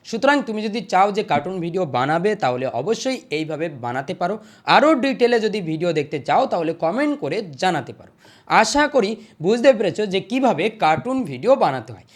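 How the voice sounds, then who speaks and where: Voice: medium pitch, sing-song, moderate pace. A tutorial host narrating screen steps in an instructional way, repeating each step before moving to the next.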